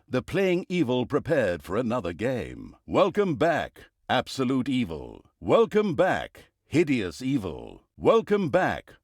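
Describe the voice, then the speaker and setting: A man's voice: mid-range voice, a little mellow, no room sound, clean studio sound, exaggerated voice. A man playing a grandiose, tongue-in-cheek narrator in a fantasy video game, with mock-solemn, theatrical delivery. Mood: grand welcoming.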